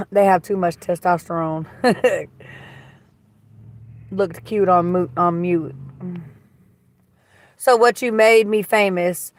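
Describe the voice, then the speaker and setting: A woman with a slightly raspy voice hosting a livestream, chatting casually and reading viewer comments in a blunt, gossipy way that is full of swearing. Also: fairly low pitch, slow, pauses often. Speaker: livestream host.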